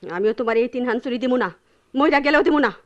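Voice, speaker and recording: An actress playing a fed-up, sharp-tongued poor village wife in a Bengali radio drama, scolding and stubborn, with a rural Bangladeshi accent. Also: female voice, high-pitched, lively intonation, racing, dull audio. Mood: bitter, defiant.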